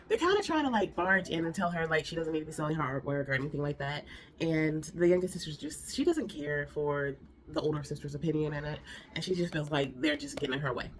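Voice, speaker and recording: Young woman, lowish and nasal from a cold, chatty rambling recap host. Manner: rambling, chatty, many fillers and restarts. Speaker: reaction video host. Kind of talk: casual drama recap and review.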